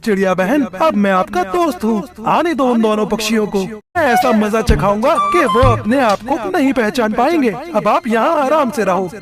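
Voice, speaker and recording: A woman voicing earnest, forceful male creatures in a children's cartoon, with an emphatic way of talking. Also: a little high, expressive, normal speed, few pauses, music in the background, close to an everyday voice. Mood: protective, sympathetic. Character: male birds and mango tree, earnest, forceful characters. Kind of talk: Hindi children's cartoon dubbing.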